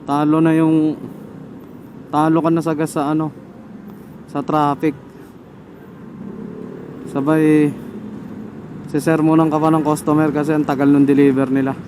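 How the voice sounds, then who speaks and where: Higher-voiced Filipino man chatting over road noise. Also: level tone, unhurried, long pauses. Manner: informal, muttering to himself, swearing. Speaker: motorcycle vlogger. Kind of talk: casual motovlog chat while riding. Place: outdoors on a motorcycle in traffic. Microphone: helmet intercom microphone. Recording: noisy helmet intercom mic, traffic.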